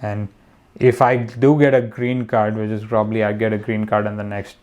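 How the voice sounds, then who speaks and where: A man's voice: lowish voice, flat delivery, normal speed, darkish tone. A young man from India, a comedian guest, talking casually with many restarts and fillers. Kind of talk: casual conversation.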